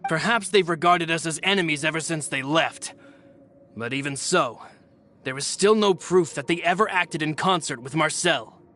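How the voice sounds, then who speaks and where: A man's voice: a little high, normal speed, sharp tone. A man playing a loyal, dutiful henchman in a video game, speaking earnestly and formally. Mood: serious. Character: loyal, dutiful male henchman reporting to boss. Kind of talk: scripted game dialogue acting.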